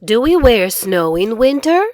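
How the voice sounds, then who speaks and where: High, lively woman teacher reading aloud slowly. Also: no room sound, studio quality. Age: middle-aged. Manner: clearly enunciated, repetitive teaching delivery. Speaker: English teacher and read-aloud host. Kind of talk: children's read-aloud lesson.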